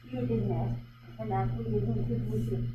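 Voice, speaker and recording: A woman's voice: medium pitch, little pitch movement, moderate pace. An older woman from Denmark with a Danish accent, a guest painter making brief, plain remarks. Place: art gallery. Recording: distant, echoey and dull, with background noise.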